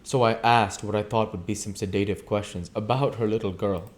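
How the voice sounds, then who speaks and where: A man reading a classic novel aloud as an amateur audiobook reader, with deliberate, emphatic, theatrical delivery. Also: lowish voice, fairly even intonation.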